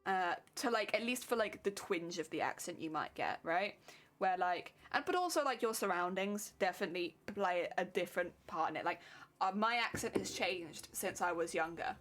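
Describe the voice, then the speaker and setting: A young woman with a southern English accent chatting with her live viewers while sewing, self-mocking and quick to laugh. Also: lowish voice, expressive, a bit bright, soft background music. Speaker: streamer.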